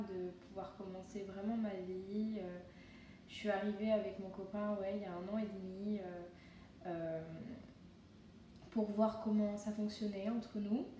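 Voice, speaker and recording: Relaxed young woman with a warm, mellow voice. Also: medium pitch, a little slow, slight background noise. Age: young adult. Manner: relaxed, many hesitations. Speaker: resident. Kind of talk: testimonial interview. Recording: very echoey room, distant mic.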